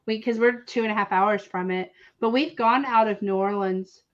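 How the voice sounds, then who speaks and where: A woman's voice: medium pitch, steady tone, normal speed. A woman, co-hosting a casual livestream chat and laughing often. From South Texas. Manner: chatty, laughs often, says y'all. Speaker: livestream co-host. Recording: lo-fi stream audio.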